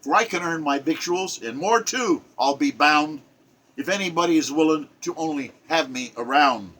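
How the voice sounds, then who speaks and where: A man's voice: fairly high pitch, normal speed, fairly bright tone, rough recording. A man in his seventies reading a rhymed poem in the voice of a proud, weary old woman of seventy being sent to the poorhouse. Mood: plaintive. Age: 70s. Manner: declaiming rhymed verse in first person.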